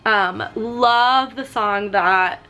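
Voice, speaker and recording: Chatty young woman, slightly hoarse. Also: fairly high pitch, drawn-out words, sharp tone, soft background music. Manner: chatty, full of "like" and "um". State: slightly hoarse, getting sick. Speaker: vlogger. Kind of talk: casual chat while doing makeup. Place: sitting on a fur rug at home.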